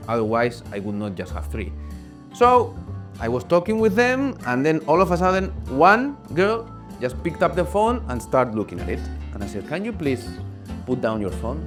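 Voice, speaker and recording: A young man from Spain with a Spanish accent, telling a casual, animated vlog story in English. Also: a little high, normal speed, over music. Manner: animated, talkative, acts out quoted voices. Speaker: vlogger.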